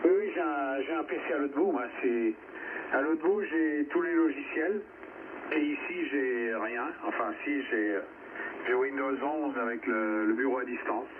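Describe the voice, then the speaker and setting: A man's voice: medium pitch, measured pace. A man chatting casually as an amateur radio operator, hesitant and conversational, heard over a ham radio transmission. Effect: shortwave ham radio transmission. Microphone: radio transmitter microphone heard over receiver. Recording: narrow phone-like radio, clear static noise.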